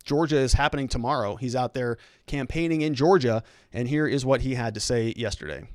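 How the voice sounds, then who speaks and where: An American man hosts a conversational political commentary show. He explains step by step and often throws out rhetorical questions. His mid-pitched voice is recorded dry and close, with no room sound. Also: brisk, darkish tone, no room sound. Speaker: host, legal commentator.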